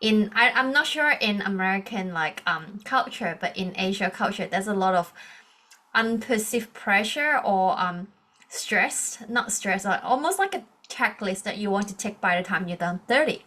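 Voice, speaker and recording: A woman in her thirties with a Hong Kong accent and a bright, clear-edged voice, her first language Cantonese. She tells her story earnestly as a podcast guest, restarting phrases often as she searches for words. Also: medium pitch, animated, moderate pace. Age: 30s. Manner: earnest, with frequent restarts and non-native grammar. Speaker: guest, leadership coach. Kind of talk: podcast interview, personal story.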